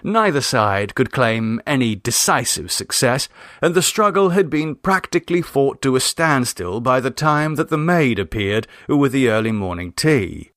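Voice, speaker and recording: Audiobook reader: man, mid-range voice, wry measured storytelling, crisp wording, close dry sound. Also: medium pitch, expressive, a bit bright, no room sound. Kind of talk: literary audiobook narration.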